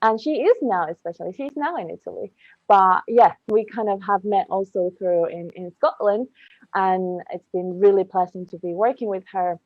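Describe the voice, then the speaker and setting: A woman's voice: mid-range voice, normal speed, no room sound, highs cut off. A woman hosting a casual podcast interview, speaking in an enthusiastic, rambling way. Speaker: podcast host.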